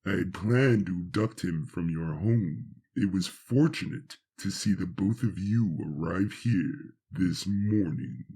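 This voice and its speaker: A man voicing a sinister, gloating sorcerer with a low, husky voice and a slow, taunting, grandiose delivery in a fantasy audio story. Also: mellow, full character voice. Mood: cold gloating.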